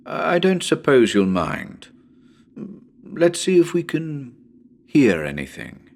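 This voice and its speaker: Man acting a nervous, slow-spoken radio ghost hunter. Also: mid-range voice, gravelly, heavily acted. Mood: jittery, anxious. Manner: halting, muttering, repeats himself, jittery. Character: nervous male radio ghost hunter slowly losing his nerve. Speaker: narrator reading a horror story. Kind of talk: dramatic reading as a live radio broadcast.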